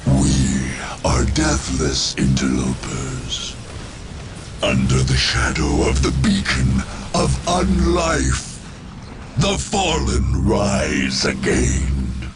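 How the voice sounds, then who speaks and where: Abyssally deep undead machine lord. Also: male voice, wildly swooping pitch, over loud music, exaggerated voice. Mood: menacing, scornful. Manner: slow, ominous, portentous declaiming. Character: ancient undead machine lord, malevolent, grandiose, contemptuous. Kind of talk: theatrical video game villain acting.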